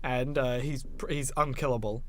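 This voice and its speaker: A man's voice: mid-range voice, steady tone, normal speed. A man co-hosting a podcast, chatting casually about films in an enthusiastic, rambling, sweary way. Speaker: podcast co-host.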